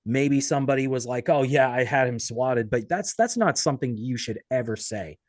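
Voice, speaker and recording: A man's voice: medium pitch, moderate pace, dry recording, clean studio sound. A man from Boston hosting a casual interview in an informal, sometimes profane way. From Boston, United States. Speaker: interview host.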